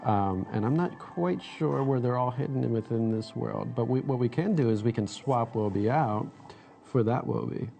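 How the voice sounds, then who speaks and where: Mellow-voiced man demoing a toy app. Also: mid-range voice, lively intonation, normal speed, gravelly. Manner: upbeat, guiding step by step. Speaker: product demonstrator. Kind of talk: live product demo.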